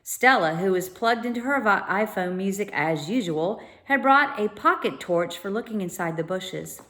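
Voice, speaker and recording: A woman's voice: mid-range voice, animated, moderate pace, fairly bright tone. Woman narrator for children's read-aloud, mid-range voice, steps out informally to explain words.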